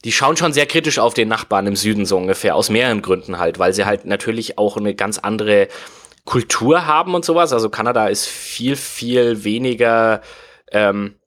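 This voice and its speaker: A man's voice: fairly low pitch, lively intonation, normal speed, fairly bright tone. A man, explaining at length in a casual podcast chat, full of fillers and hedges. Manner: rambling, many fillers and hedges. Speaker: guest explaining game industry history.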